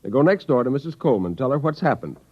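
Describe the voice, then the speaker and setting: An actor playing an anxious, reasoning college professor in a 1950s radio drama, earnest and somewhat hesitant. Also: male voice, medium pitch, big pitch swings, racing, husky. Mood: wary, reasoning. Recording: dull old-time radio recording.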